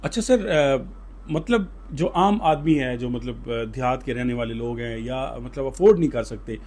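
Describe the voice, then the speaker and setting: A man's voice: mid-range voice, expressive, normal speed, darkish tone, a little hiss or hum. A man with a Pakistani accent hosting an informal interview, speaking in hesitant, wordy phrases full of filler words. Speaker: interviewer and host.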